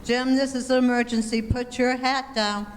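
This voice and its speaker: A woman's voice: on the high side, fairly even intonation, lightly acted. An actress playing a sensible, wry mother in an old-time radio comedy. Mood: concerned. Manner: brisk, wry. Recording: reverberant hall, faint noise.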